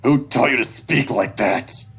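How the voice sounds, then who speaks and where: A man's voice: deep voice, moderate pace, vocal fry, husky, exaggerated voice. Man voicing a stern, scolding animal father. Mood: disapproving, accusing. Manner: harsh, commanding, accusatory. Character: stern, scornful animal father berating his son.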